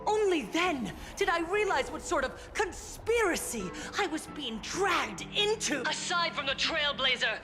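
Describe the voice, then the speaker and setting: A woman's voice: high voice, animated, measured pace, few pauses. An actress voicing a scheming, persuasive female broadcaster in a game. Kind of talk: voiced game dialogue. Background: game music.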